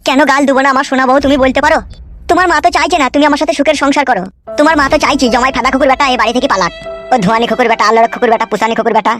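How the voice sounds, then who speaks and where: Guy doing a whiny lovesick cartoon husband, high voice for a man, super fast melodramatic grumbling, bit of music behind. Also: steady tone. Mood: aggrieved, ranting. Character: lovesick, aggrieved young husband, pleading and foul-mouthed. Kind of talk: amateur comedy cartoon dubbing. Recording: music under the voice.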